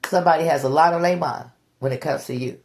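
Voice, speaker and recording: Blunt, gravelly-voiced woman tarot reader. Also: deep voice, quick pace, a little mellow. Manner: blunt, profane, preachy, repeats herself. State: stuffy nose. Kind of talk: tarot reading monologue.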